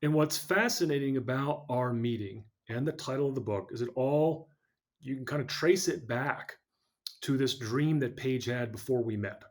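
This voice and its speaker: A man speaking as a podcast guest, telling a personal story in an earnest, measured way. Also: medium pitch.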